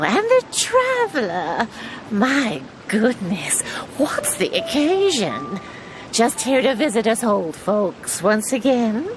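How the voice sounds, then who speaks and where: A woman's voice: mid-range voice, background noise. A woman voicing a kindly old village grandmother who fusses and pleads. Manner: slow, fussing and pleading. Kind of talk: game voice acting. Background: game music.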